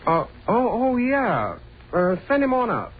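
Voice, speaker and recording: An actor playing an affable, pragmatic young fraternity brother in an old-time radio drama, chatty and polite. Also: male voice, very high-pitched, wildly swooping pitch, measured pace, heavily acted. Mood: chatty, casual. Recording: tinny, noisy vintage radio recording.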